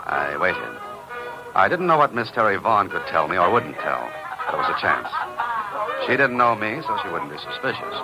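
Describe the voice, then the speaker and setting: Deep-voiced, wry radio sleuth. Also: male voice, very animated, moderate pace, rough recording. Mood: wry narration. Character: cool, wry male amateur sleuth posing as journalist. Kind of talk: 1940s radio drama acting with first-person narration. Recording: old radio recording with music behind.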